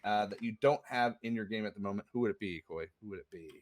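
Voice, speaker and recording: A man's voice: on the low side, fast talker. A man hosting a casual chat, reading out viewer questions in a laid-back, slangy way.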